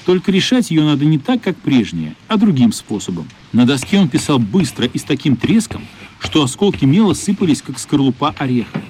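A man narrating a radio play in an expressive, measured literary storytelling style. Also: fairly high pitch, a little hiss or hum.

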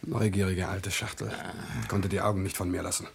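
A man's voice: deep voice, flat delivery, fairly quick, vocal fry, heavy background noise. An actor playing a menacing wanted gunman in a German radio crime play, curt and threatening with underlings, deferential to his boss. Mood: menacing, irritated.

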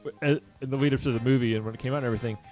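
A man's voice: mid-range voice, fairly even intonation, rapid-fire, darkish tone, faint music behind. A man chatting casually in a matter-of-fact way. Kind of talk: casual chat.

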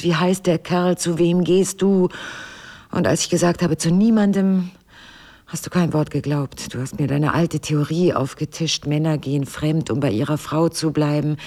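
An actress in a naturalistic radio drama playing a guarded, weary wife who speaks in a measured, evasive, dryly sardonic way. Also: female voice, on the low side, fairly even intonation, a little mellow. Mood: weary, bitter. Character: guarded, weary wife burdened by a painful secret.

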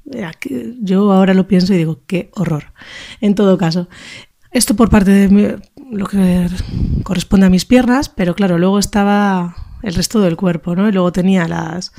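A woman's voice: mid-range voice, moderate pace, dark tone. A woman in her early thirties with a northern Spanish accent, sharing an informal personal reflection in a hesitant, thoughtful way. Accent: northern Spain. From Basque Country, Spain. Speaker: contributor to a feminist radio show.

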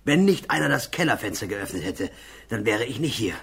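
An actor playing a simple, earthy old war veteran in a German radio play, rambling in a folksy, plain-spoken way. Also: male voice, fairly low pitch, expressive, fairly quick, creaky, fairly bright tone, husky, exaggerated voice. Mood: ponderous, reminiscing.

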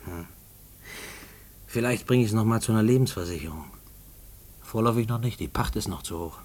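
An actor playing an uneasy, haunted man in a German radio play, speaking in a brooding, halting way. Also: lowish voice, a little mellow, natural voice. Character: haunted, uneasy husband and condemned man, John.

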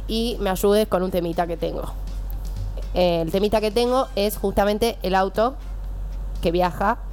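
A woman's voice: medium pitch, steady tone, normal speed, darkish tone, soft background music. Young woman, Argentine accent, hesitant radio panelist with opinions. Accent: Rioplatense Spanish. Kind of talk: casual film discussion.

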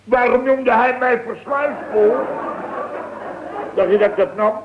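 An actor playing an indignant, sarcastic company boss of about fifty in a radio comedy, emphatic and repeating himself. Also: male voice, very high-pitched, unhurried, a crowd in the background, noisy background. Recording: tinny, narrow-band old radio recording.

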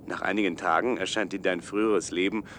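Deep earnest persuasive man in a sci-fi radio play, rumbly noise behind him. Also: steady tone, brisk, a bit bright. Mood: earnest pleading. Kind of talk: German science-fiction radio play acting.

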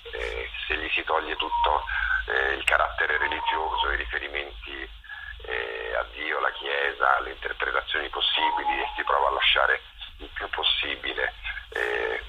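Male interviewee, very bright, forceful tone over faint noise, pausing as he searches for words. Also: extremely low pitch, creaky, poor audio. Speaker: theatre actor-director, interview guest. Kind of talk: thoughtful interview conversation.

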